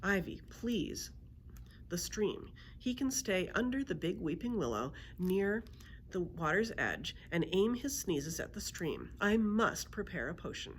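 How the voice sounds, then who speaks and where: A woman voicing a calm, practical old grandmother healer in a children's storybook, gentle and matter-of-fact. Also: on the low side, a little slow, slight background noise.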